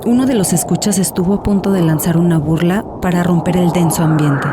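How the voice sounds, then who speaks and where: A woman giving a dramatic scripted narration as an audiobook narrator. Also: fairly low pitch. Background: music.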